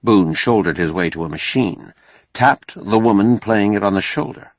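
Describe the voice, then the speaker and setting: A man's voice: on the low side, normal speed, no echo. A man narrating a novel as an audiobook, reading scripted prose expressively.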